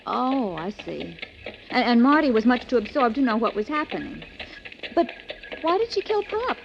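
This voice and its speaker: A woman's voice: a little high, big pitch swings, moderate pace, creaky, a little hiss or hum. A woman playing a dreamy, playfully curious sweetheart in a 1940s radio crime drama, coy in manner. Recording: muffled vintage 1940s radio recording.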